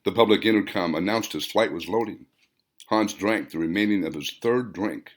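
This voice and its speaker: A man's voice: deep voice, normal speed. A man reading his own fiction as a dramatic audiobook narration, with emphatic, theatrical phrasing. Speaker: author reading own novel.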